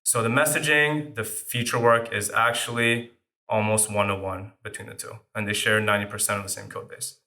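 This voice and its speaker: A man whose first language is French talks through his startup story in a loose, casual way, leaning on "like" and "right". His voice sits fairly low, yet it has a bright, forceful edge. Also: fairly even intonation, a little fast. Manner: casual, uses many fillers like "like" and "right". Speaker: startup founder and guest. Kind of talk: podcast interview answers.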